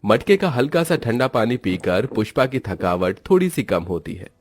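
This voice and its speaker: A man's voice: mid-range voice, big pitch swings, normal speed, no echo. A man narrating a scripted cartoon story as its storyteller.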